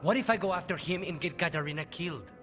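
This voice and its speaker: A man's voice: a little high, quick pace, sharp tone, faint music behind. An actor playing a brooding, conflicted male assassin in a video game, intense and troubled in manner. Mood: anguished.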